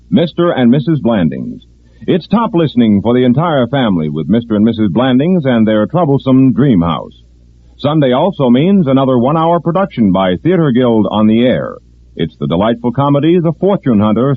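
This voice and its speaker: Tinny 1940s radio announcer, man. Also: mid-range voice. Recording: tinny phone-like vintage radio recording.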